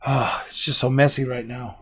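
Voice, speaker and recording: A middle-aged man hosting a casual live stream from his home gaming room, rambling and indecisive, his voice going hoarse. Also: medium pitch, level tone, moderate pace, fairly bright tone. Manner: rambling and indecisive, repeats himself. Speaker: livestream host.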